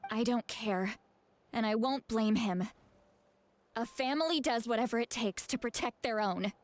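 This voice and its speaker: A woman's voice: fairly high pitch, a little slow, lightly acted. A woman voicing a proud, stubborn, protective young woman in a video game, with an emotive, theatrical delivery. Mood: troubled, determined. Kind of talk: video game voice acting, dramatic dialogue.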